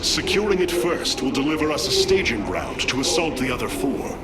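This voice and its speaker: An actor playing a noble alien warrior leader in a video game, speaking in a formal, stately, commanding manner. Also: male voice, fairly high pitch, lively intonation, normal speed. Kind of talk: video game cinematic acting. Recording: loud music and effects behind.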